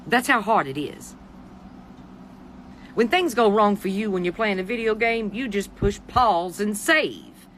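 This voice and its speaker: Sassy, animated American commentator with swooping pitch. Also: a little slow, a little hiss or hum. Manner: sassy, profane, exasperated, addresses listener as honey and baby. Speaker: commentator giving opinions on the news. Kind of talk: informal opinionated rant to camera.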